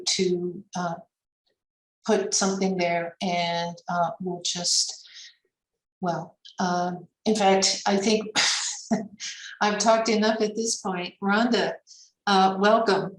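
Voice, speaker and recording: A woman moderating an online event, speaking haltingly with many fillers as she introduces a guest. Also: medium pitch. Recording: roomy audio from far off the mic.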